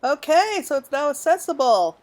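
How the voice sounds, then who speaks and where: A woman's voice: high voice, big pitch swings, moderate pace, a little mellow. A woman giving casual, chatty commentary as she plays through a game, thinking aloud. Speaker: gamer narrating a playthrough. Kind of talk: casual gameplay commentary, also reading game dialogue aloud.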